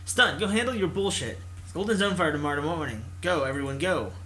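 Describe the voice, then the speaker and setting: A man's voice: a little high, animated, brisk, pushed and bright. A man reading the part of a brusque, demanding female esports team captain in a visual novel, curt and commanding. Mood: bossy.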